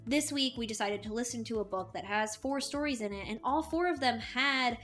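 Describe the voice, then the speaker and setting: A woman with a higher-than-average voice co-hosts a book review show. She talks casually, with plenty of emphasis and enthusiasm. Faint music plays behind her. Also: brisk, fairly bright tone.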